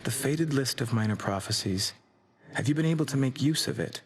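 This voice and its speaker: A deferential attendant to royalty in a video game, careful and formal in speech. The medium-pitched voice rings with long reverb. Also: male voice, expressive, a little fast, slightly rough. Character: courteous, deferential attendant to a princess. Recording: long reverberant hall effect.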